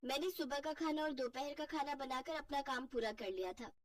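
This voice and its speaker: A woman's voice: high-pitched, brisk, distant mic, natural voice. A woman voicing a lazy, pampered, cheeky young wife, whining and defiant, in a family cartoon. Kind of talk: Hindi family cartoon voice acting.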